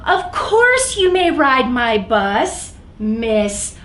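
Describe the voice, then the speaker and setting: A woman voicing an obliging male blob bus driver in a picture book, with formal, drawn-out, emphatic announcements. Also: sits high, very animated, a bit bright, heavily acted. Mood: welcoming, formal. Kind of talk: picture-book read-aloud. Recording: roomy echo, some background noise.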